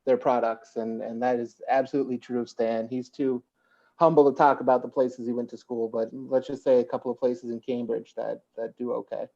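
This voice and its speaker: A man's voice: medium pitch, flat delivery, a little fast, gravelly, darkish tone. Massachusetts guy, no accent, muffled video call audio, teasing and friendly. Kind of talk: casual video call chat.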